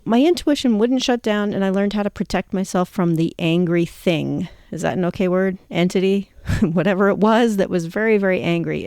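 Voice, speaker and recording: A woman's voice: mid-range voice, darkish tone, dry recording, studio quality. A woman in her fifties hosting a show, telling a personal story in a reflective, conversational way. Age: 50s. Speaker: show host.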